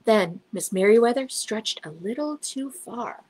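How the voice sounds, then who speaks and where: A woman reading a children's storybook aloud in an expressive, dramatic storytelling manner. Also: a little high, measured pace, poor audio. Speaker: children's book reader. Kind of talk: storybook narration, read aloud.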